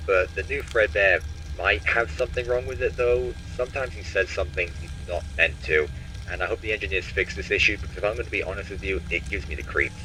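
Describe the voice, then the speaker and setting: Young male actor as horror game phone guy, low voice, casual, explanatory, friendly, slightly uneasy, noisy tape. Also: fast talker, pushed and bright. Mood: cautioning, uneasy. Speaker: pizzeria employee leaving taped instructions. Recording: clearly noisy taped message.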